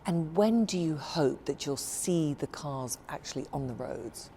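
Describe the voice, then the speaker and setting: Female broadcast reporter, polished and low, very animated pitch, over background music. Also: slight background noise. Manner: measured, polished delivery. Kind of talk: broadcast narration and interviewing.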